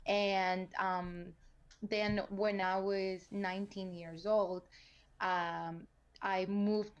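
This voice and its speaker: A woman's voice: mid-range voice, extremely slow, highs cut off. Young woman from el salvador, laid back, says like a lot, video call. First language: Spanish. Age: young adult. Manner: relaxed, many fillers like "like" and "um". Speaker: guest. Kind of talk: casual conversational storytelling.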